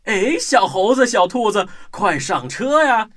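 A man's voice: high-pitched, big pitch swings, measured pace, a bit bright, soft background music, heavily acted. A man voicing a cheerful, enthusiastic young boy in a children's audio drama. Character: cheerful young boy train driver Nick.

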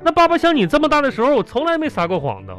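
A man's voice: extremely high pitch, wildly swooping pitch, racing, a bit bright, faint music behind, exaggerated voice. A man playing an exasperated father in a radio comedy, with a Northeastern Chinese accent and sputtering, repetitive, scolding talk. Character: exasperated, blustering father of a little girl. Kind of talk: live comedy radio drama acting.

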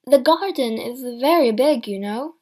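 A woman's voice: high voice, expressive, measured pace, dark tone. A woman reading aloud, voicing a grown son who reasons mildly with his mother. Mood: coaxing.

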